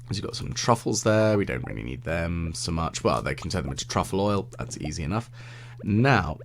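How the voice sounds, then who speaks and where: A British man with a deep voice giving relaxed, meandering gameplay commentary with gentle, wry asides. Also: slight background noise. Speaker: let's play video host.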